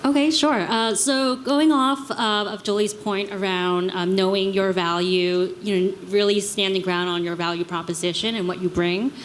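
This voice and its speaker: A woman's voice: medium pitch, fairly even intonation, moderate pace, hardly any pauses, poor audio. A woman on a panel sharing work experience with tentative, hesitant phrasing. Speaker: panelist, chief of staff. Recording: clear background noise, live event ambience.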